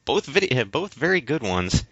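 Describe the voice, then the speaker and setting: A man co-hosting a casual discussion podcast, explaining things in a halting, rambling way. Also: mid-range voice, very animated, fairly bright tone, breathy edge. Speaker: podcast co-host. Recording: dull, lo-fi voice recording.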